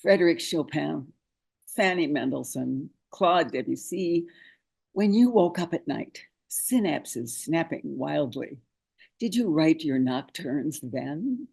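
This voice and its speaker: A man's voice: on the high side, expressive, slow, creaky, darkish tone, slightly rough. A man speaking informally over a video call as a poet introducing and reading a sonnet.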